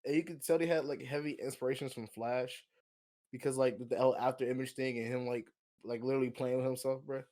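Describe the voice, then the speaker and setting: A man co-hosting a podcast, talking casually and conversationally with lots of slang and animated opinions. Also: mid-range voice, fast talker. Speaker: podcast co-host. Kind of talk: casual movie discussion chat.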